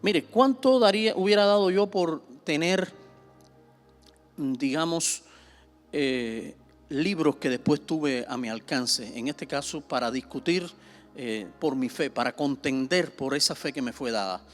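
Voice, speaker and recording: A man's voice: fairly high pitch, lively intonation, a little slow, soft background music. A middle-aged Cuban man, a pastor teaching a Bible seminar in a conversational way, with many fillers, repeating himself and pushing up sharply when he stresses a point. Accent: Cuban Spanish. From Cuba.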